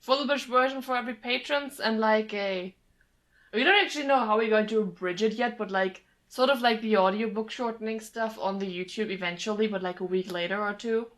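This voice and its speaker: A woman's voice: on the high side, fairly even intonation, fairly quick, a bit bright. A woman in her late twenties chatting casually as a podcast co-host, blunt, sweary and sardonic. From Germany. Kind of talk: casual chat.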